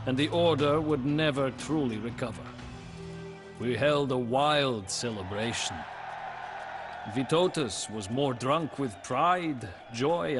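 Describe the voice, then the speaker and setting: An actor playing a solemn, grieving widowed medieval king, narrating his story in a game cutscene with grave, measured pauses. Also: medium pitch, natural voice. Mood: triumphant, weary. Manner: grave, measured, pausing, often breathy at phrase ends. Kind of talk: dramatic first-person storybook game narration.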